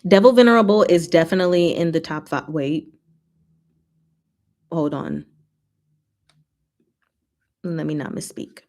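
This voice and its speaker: Female livestream host, slightly lower register, playful and animated, slangy off-the-cuff chat aimed straight at viewers. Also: a little slow, long pauses. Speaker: livestream host and book reader.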